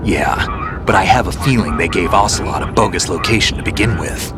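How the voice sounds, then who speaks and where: An actor playing a grizzled veteran soldier who calmly advises a younger man in a video game cutscene, speaking tersely and steadily. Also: deep voice, brisk, close to an everyday voice. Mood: steady. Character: grizzled male veteran soldier, calm mentor. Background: loud orchestral music.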